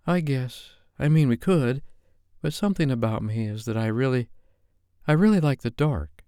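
Man voicing a shy, halting young woman, pitched up. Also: expressive, dark tone, clean tone, dry recording, studio quality, lightly acted. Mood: anxious, hesitant. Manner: halting, hesitant. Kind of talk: romance audiobook character dialogue.

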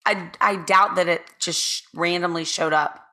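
A woman co-hosting a podcast in casual chat, with a raspy, slightly hoarse voice and a quick, chatty way of talking. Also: on the low side, steady tone, pushed and bright. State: a little hoarse. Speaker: podcast co-host.

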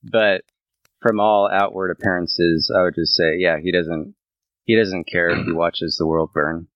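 Low-voiced American man, dull sound. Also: fairly even intonation, a little slow, dry recording. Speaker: podcast co-host. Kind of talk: casual chat. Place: outdoors by a fire.

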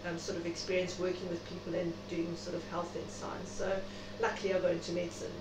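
A woman's voice: lowish voice, little pitch movement, quick pace. A middle-aged South African woman speaking reflectively and chattily as a guest in an interview. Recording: noisy, echoey room, distant mic.

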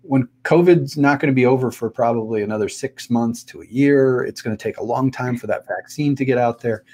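A man's voice: medium pitch, a little fast, mellow. A man hosting a live online interview, speaking in a friendly way and reading out viewer comments. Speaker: host.

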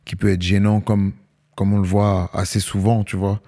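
Low-voiced man, hesitant. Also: little pitch movement, normal speed, dark tone. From Paris, France. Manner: casual, hesitant, many fillers. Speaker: guest rapper. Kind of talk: casual interview.

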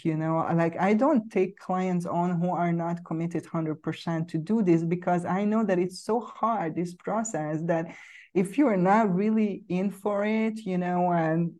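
A woman's voice: on the low side, mellow. A woman with a Hungarian accent talking conversationally and earnestly as a podcast host, often saying "you know". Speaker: podcast host and psychedelic integration coach.

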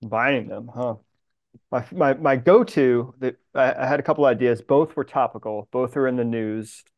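A man with a mid-pitched voice talks casually as a guest on a podcast. He keeps to short, clipped phrases and jokes along as he goes. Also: moderate pace, dull audio. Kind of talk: casual chat.

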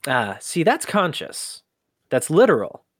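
An actor playing an inquisitive human archivist in an audio drama, with a conversational, halting, often exasperated manner. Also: mid-range voice, huge pitch swings, dry recording, studio quality. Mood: thoughtful, explaining. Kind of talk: scripted audio drama acting.

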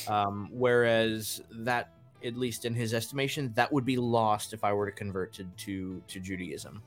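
A man of middling pitch talks through a personal question slowly and carefully. He is co-hosting a relaxed discussion podcast, and faint music plays underneath his voice. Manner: thoughtful, weighs words carefully. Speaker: podcast co-host. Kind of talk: casual religious discussion.

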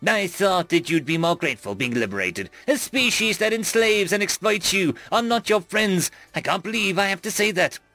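A man's voice: high voice, expressive, normal speed, hardly any pauses, bright, forceful tone, dry recording, faint music behind, voice pushed up. A man voicing a stern male alien military captain, incredulous and full of contempt. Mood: scornful.

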